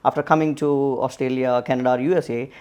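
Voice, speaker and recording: A man's voice: mid-range voice, fairly even intonation, fast talker, a little mellow, smooth. A man hosting a podcast interview. He asks questions casually, with lots of fillers. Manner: casual, lots of uh and you know. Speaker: podcast host.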